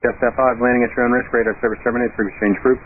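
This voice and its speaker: A man's voice: medium pitch, little pitch movement, narrow, tinny audio. A man working as an air traffic controller, giving clipped, procedural instructions over aircraft radio. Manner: brisk, procedural. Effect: aircraft radio transmission. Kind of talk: clipped aviation radio phraseology.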